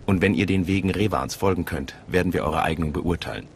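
An actor playing a stern, sceptical gatekeeper of a cult in a video game, measured and authoritative. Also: male voice, low-pitched, creaky, a little hiss or hum. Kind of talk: video game voice acting in German. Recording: faint outdoor ambience behind.

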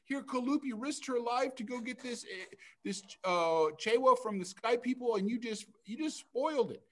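A man's voice: very high-pitched, animated, normal speed, voice pushed up. A man voicing a crowd of animal people in a folk tale, scolding a trickster in a reproachful way.

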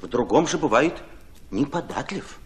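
An actor playing an earnest, ardent Red Army commissar in a radio drama, speaking in deliberate, impassioned phrases. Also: male voice, medium pitch, expressive, natural voice. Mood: earnest, thoughtful.

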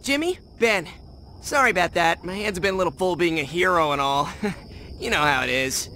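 A man voicing a cocky, wisecracking teenage boy hero in an animated video game, flippant and sarcastic. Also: sits high, a little fast, slight background noise. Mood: breezy. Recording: music under the voice.

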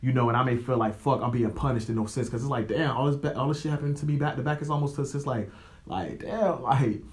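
A young American man hosts a podcast alone in a mid-pitched voice. He talks loosely and conversationally in slang, giving motivational advice and often checking that the listener follows. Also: quick pace. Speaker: podcast host. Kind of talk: casual motivational monologue.

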